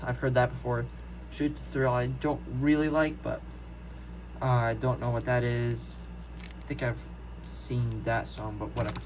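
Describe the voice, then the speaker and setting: A young adult man gives a casual, unscripted show-and-tell in a rambling, easily sidetracked way. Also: medium pitch. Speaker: hobbyist video maker. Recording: noticeable background noise, amateur home video.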